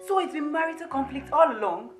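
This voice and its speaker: Reproachful confronter, female actor: somewhat high voice, accusatory questions, melodramatic, roomy sound. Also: fast talker, recorded from a distance, lightly acted. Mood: indignant. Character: indignant, reproachful woman confronting a married couple. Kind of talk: film melodrama acting. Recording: echoey room, faint music behind.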